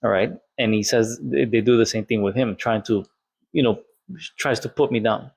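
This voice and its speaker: A man's voice: medium pitch, normal speed, vocal fry, darkish tone, slightly rough. A man, telling a personal story in a candid, conversational monologue and quoting what others said. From Colombia. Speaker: vlogger.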